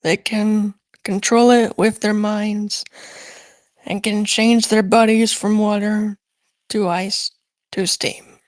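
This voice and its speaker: Woman as a slow-spoken patient schoolteacher. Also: mid-range voice, flat delivery, no echo, lightly acted. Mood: instructive. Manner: measured, explanatory. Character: patient, gently dismissive female schoolteacher in cartoon. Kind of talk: scripted cartoon fan voice acting.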